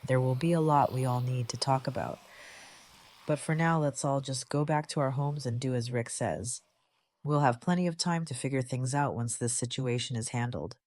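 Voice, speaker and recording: Man voicing a calm, reassuring leader, dry sound. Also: mid-range voice, no echo, natural voice. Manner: measured, placating. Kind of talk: audio drama acting.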